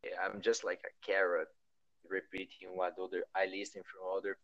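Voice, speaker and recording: A man with a Brazilian Portuguese accent talks in a casual interview, hunting for words in English as he goes. His voice sits a bit low, and the recording is dull and dry. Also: monotone, a bit bright, dry recording. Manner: halting, searching for words in a second language. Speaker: guest. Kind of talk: casual conversation.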